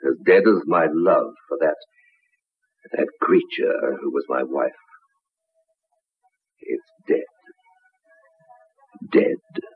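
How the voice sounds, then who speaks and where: An actor playing a cunning, vengeful betrayed husband in a vintage radio horror drama, husky and gravelly, speaking with slow, deliberate menace. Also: male voice, extremely low pitch, faint music behind. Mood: cold menace. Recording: narrow-band vintage 1960s radio recording.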